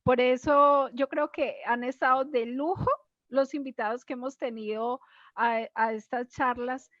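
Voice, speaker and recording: A woman's voice: high voice, fairly even intonation, unhurried, a bit bright, dry recording, dull audio. A Colombian woman with a Colombian accent, hosting a casual interview in an effusive, admiring way. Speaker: host, stage performer.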